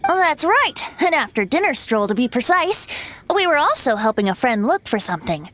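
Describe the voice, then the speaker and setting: A teasing, wry young bard. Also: female voice, sits high, sing-song, fairly quick, noisy background. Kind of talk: video game voice acting.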